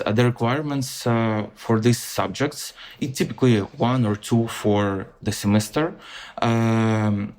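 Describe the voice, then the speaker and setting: A young man with a Ukrainian accent, a podcast guest answering in a halting, reflective way with many pauses and restarts. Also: lowish voice, a little mellow. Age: young adult.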